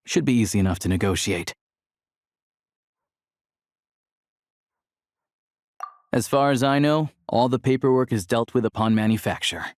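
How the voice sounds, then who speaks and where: A man's voice: mid-range voice, fast talker, a little mellow, no room sound, clean studio sound, close to an everyday voice. An actor playing an easygoing, worldly young male hunter and guide in a video game, with a casual, laid-back manner. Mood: confident, helpful.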